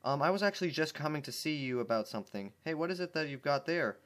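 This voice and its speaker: A man's voice: fairly high pitch, lively intonation, quick pace, a bit bright, voice pushed up. Eager, curious young filly, voiced by a man. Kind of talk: amateur fan fiction read-aloud.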